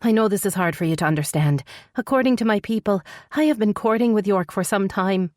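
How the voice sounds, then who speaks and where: Woman voicing a high, pleading, tearful princess. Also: fairly quick, no room sound, studio quality, lightly acted. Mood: pained, resolute. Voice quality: soft, shaky, higher-pitched voice. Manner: pleading, sorrowful. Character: tearful, pleading young supernatural princess, desperate but resolute. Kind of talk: dramatic romance audiobook dialogue.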